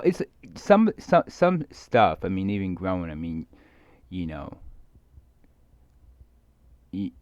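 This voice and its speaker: Low, gravelly man musing haltingly, muffled recording. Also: darkish tone, no echo. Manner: halting, hesitant, often repeating words; musing, trailing off mid-thought. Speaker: video creator. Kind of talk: rambling casual solo monologue.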